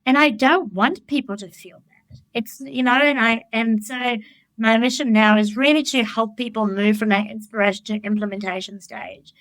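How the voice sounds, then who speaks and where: A high-pitched woman speaks in a frank, excitable way, a life coach who doesn't mince words and curses casually. Her voice sits dry and close to the mic, with no room echo at all. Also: normal speed. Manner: candid and blunt, swears freely; enthusiastic, animated storytelling. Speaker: life coach, podcast guest. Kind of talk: casual conversational interview.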